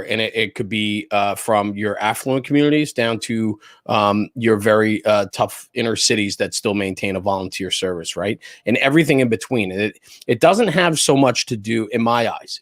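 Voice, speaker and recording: A man's voice: fairly low pitch, no echo, studio quality. A middle-aged man with an American accent, giving his views as an interview guest in a casual, emphatic way, repeating points and checking in as he goes. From New Jersey, United States. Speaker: interview guest, fire service spokesperson and former chief. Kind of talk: casual but opinionated interview talk.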